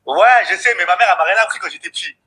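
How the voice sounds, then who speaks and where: A man's voice: high voice, racing, sharp tone, breathy edge. A young man from France calling in, answering hesitantly with short agreeing replies over a distant phone line. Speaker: caller.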